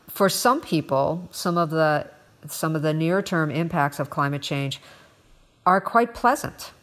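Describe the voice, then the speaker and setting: An American woman co-hosting a conversational podcast, speaking reflectively and haltingly, repeating words as she goes. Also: low voice. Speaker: podcast co-host. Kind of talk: conversational current-events discussion.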